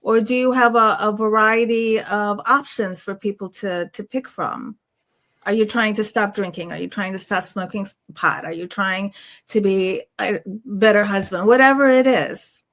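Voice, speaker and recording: A woman's voice: mid-range voice, steady tone, normal speed, fairly bright tone. A woman hosting a conversational interview, putting questions and short responses to her guest. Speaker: interview host.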